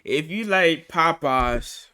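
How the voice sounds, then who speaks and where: A man's voice: a little high, lively intonation, takes it slowly, pushed and bright. An American man giving casual, slangy reaction commentary with an incredulous manner. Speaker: reaction streamer.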